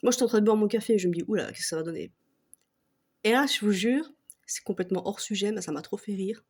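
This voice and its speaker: A woman's voice: mid-range voice, fast talker, a little mellow, breathy edge. Belgian woman ranting with a laugh. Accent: Belgian French. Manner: swears a lot, laughs often, mocking. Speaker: vlogger. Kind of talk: casual comic rant to camera.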